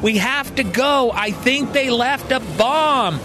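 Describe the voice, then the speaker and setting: A man's voice: extremely high pitch, animated, takes it slowly, over music, pitched above the performer's own voice, heavily acted. A man voicing an exasperated, stubborn character stuck as a ten-year-old girl in an audio fiction story, complaining insistently. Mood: urgent.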